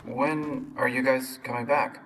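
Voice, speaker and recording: A man's voice: mid-range voice, flat delivery, unhurried, close to an everyday voice. A man voicing an eager young male would-be stalker in a video game, talking in a determined way. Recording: distant voice, clear ambient noise.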